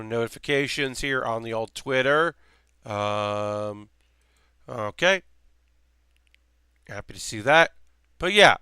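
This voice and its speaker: A man hosting a relaxed live stream while building a model kit, rambling casually with lots of fillers, his voice slightly worn. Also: medium pitch, clean tone, no echo, clean studio sound. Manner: rambling, chatty, many fillers and restarts.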